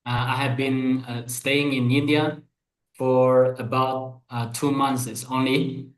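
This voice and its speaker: A man's voice: medium pitch, flat delivery, takes it slowly. Taiwanese guy asking a question on a video call, hesitant, lots of uhs, echoey room. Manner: hesitant, polite, many fillers. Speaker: PhD student asking a question. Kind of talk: webinar question.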